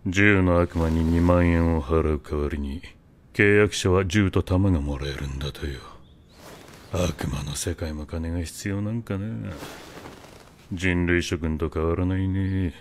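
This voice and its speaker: A man playing a condescending middle-aged yakuza boss in an anime, with a rough, drawling, patronizing delivery. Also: deep voice, unhurried, a little hiss or hum. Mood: businesslike, sly. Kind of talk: anime drama acting.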